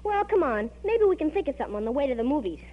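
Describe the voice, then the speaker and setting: A woman's voice: sits high, big pitch swings, rapid-fire. Swooping, gossipy teenage girl. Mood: gushing. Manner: emphatic, knowing, gossipy. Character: gushing, worldly-wise teenage girl best friend, movie-mad. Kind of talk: old-time radio comedy acting. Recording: muffled old radio recording, hum.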